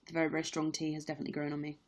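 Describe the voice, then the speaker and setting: Chatty actress on a livestream. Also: female voice, low-pitched, completely flat delivery, very fast. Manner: chatty, candid, lots of fillers. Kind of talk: casual live-stream chat.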